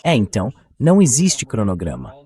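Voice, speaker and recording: A man's voice: fairly low pitch, huge pitch swings, a little fast, darkish tone, husky. A man with a Brazilian accent hosting opinionated news commentary in a blunt, sarcastic, colloquial way. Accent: Brazilian Portuguese. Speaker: talk show host and political commentator.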